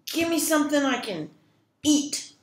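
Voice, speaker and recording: Woman as storybook troll, gruff, hungry, blustering, demanding, slow delivery, roomy echo. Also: high voice, sing-song, voice pushed up. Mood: loud, demanding. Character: gruff, bullying, hungry bearded troll under a bridge. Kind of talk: children's storytelling. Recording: echoey room.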